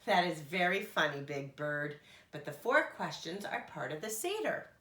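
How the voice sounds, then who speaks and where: A woman's voice: deep voice, animated, moderate pace, pushed and bright, echoey room. A woman voicing a furry blue monster in a children's storybook, eager and polite, explaining things with earnest emphasis.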